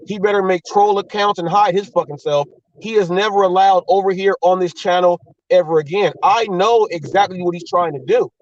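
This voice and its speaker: A man's voice: high voice, distant mic, dull audio. A man hosting a talk show, blunt and heated, swearing and repeating himself as he argues. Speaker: host.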